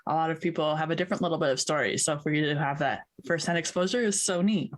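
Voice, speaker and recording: A woman's voice: sits low, quick pace. A woman from Canada hosting a podcast interview, friendly and upbeat, laughing easily. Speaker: podcast host. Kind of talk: interview chat.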